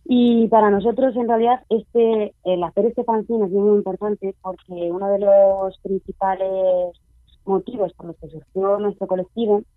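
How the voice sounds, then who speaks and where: A young man with a Spain Spanish accent speaks over a tinny, phone-like line. His voice sits high and dry. He talks earnestly, pausing on fillers and restarting his phrases. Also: steady tone, dry recording. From Alcorcón, Spain. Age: young adult. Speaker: guest, member of a youth collective. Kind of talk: casual radio chat.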